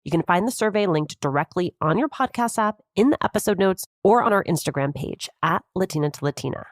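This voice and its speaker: A woman speaking as a podcast host and interviewer, in a clearly phrased presenting style on a close microphone. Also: fairly low pitch, animated, a little fast, dry recording. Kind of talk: scripted podcast narration and interviewing.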